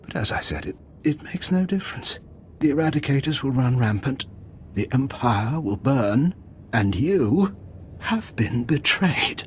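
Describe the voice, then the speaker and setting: An actor playing a bitter, grandiose revolutionary leader in a video game, speaking in deliberate, theatrical speeches. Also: male voice, medium pitch, very animated, slight background noise. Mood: defiant.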